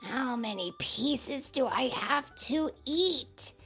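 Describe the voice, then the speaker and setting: Woman as little storybook pea boy: high, animated, whiny and excitable, squeals easily. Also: slow pace, soft background music, exaggerated voice. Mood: whining. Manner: whining, squealing. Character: small boy pea, excitable, fussy, whiny about food.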